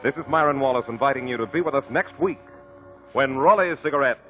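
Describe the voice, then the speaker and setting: A man's voice: mid-range voice, quick pace. An American man announcing from a script as the host of a 1950s radio show. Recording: muffled 1950 radio recording with music.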